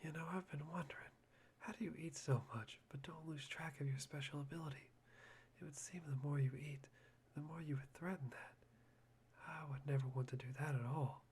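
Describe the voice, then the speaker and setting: A man voicing a polite, brooding young man in a soft, halting, breathy whisper for an ASMR roleplay. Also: a little high, steady tone, a little hiss or hum, natural voice. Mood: curious, probing.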